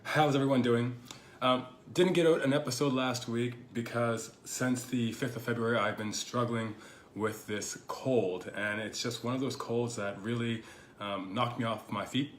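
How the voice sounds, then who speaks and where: A man hosting his own podcast, talking casually and reflectively with lots of fillers, his voice a little hoarse from a lingering cold. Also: mid-range voice, steady tone, moderate pace. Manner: thoughtful, rambling, full of "you know" fillers. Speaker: podcast host. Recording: echoey room with faint background noise.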